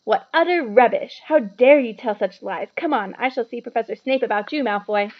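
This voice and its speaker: Stern older schoolmistress for a storybook, acted by a woman: indignant, emphatic, scolding, highish pitch. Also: lo-fi sound, close to an everyday voice. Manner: sharp, scolding, emphatic drawn-out words.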